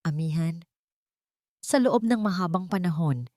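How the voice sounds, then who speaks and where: A woman's voice: on the low side, expressive, measured pace, darkish tone, no room sound, studio quality. A woman voicing an earnest, remorseful man in love in an audiobook, tender and solemn. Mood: tender pleading.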